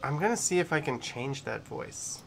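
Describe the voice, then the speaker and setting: A man's voice: on the high side, expressive, moderate pace, creaky. A man hosting a casual livestream, chatting informally and reading out viewer messages as he comments. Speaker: livestream host.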